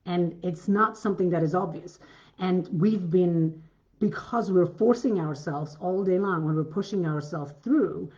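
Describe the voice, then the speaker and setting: Deep-voiced woman coaching, earnest. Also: unhurried, a little mellow, highs cut off. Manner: earnest, repetitive, many restarts. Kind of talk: masterclass talk.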